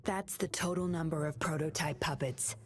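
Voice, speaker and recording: A woman's voice: low-pitched, normal speed, soft background music, close to an everyday voice. An actress playing a mysterious, scheming young woman in a video game, speaking in a cool, guarded, matter-of-fact way. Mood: cool, focused.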